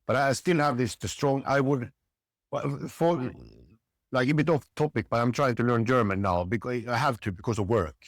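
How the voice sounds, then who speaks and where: A middle-aged man, a guest in a casual interview, talking in halting bursts with many restarts. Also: medium pitch, no room sound, studio quality. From Sweden. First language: Swedish. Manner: halting, restarts often, frequent "yeah". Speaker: podcast guest.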